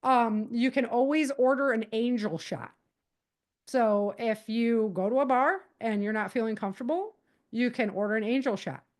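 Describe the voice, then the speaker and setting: A woman's voice: a little high, normal speed. A woman speaking as a podcast guest, giving practical advice in a casual, conversational way and explaining things with animation.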